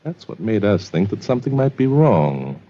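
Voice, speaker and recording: Low-voiced, suspicious radio sleuth. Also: male voice, big pitch swings, moderate pace, warm tone. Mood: suspicious, deliberate. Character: sharp, composed, suspicious amateur male sleuth. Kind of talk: 1940s radio drama acting. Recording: muffled old radio recording.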